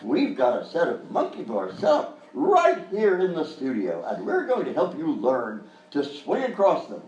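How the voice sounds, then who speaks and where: Man playing a high-pitched, chirpy kids' show uncle. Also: wildly swooping pitch, moderate pace, darkish tone, highs cut off. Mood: soothing. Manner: reassuring, singsong talk to children. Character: avuncular, flustered male kids' show host, relentlessly cheerful. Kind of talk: live radio sketch comedy acting. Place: live performance in hotel venue. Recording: roomy live stage, distant mic, faint noise.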